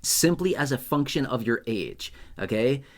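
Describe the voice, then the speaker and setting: A man's voice: medium pitch, expressive, moderate pace. A man hosting an explainer video in a casual, conversational way, often repeating and rephrasing points for emphasis. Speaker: host of a benefits news channel. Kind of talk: casual explainer talk to camera.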